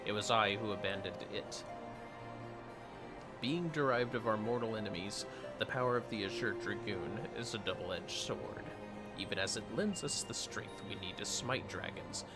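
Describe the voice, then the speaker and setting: A man's voice: fairly low pitch, moderate pace, loud music behind the voice, close to an everyday voice. A man voicing a solemn, aging retired knight, reading fantasy game dialogue in grave, formal, archaic phrasing. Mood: confessional, grave.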